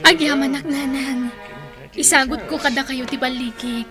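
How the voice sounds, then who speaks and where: A high-pitched young woman, played by an actress, a dutiful student daughter in love. She speaks in radio drama style over soft music. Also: steady tone, normal speed, lightly acted. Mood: grateful, affectionate.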